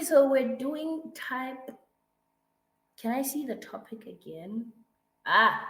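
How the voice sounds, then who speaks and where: Woman tutor, hoarse from flu, raspy, slow unhurried delivery, lowish voice, roomy echo. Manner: patient explaining with frequent checks like "right?" and "mm-hmm". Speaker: English tutor. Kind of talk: one-to-one online grammar lesson.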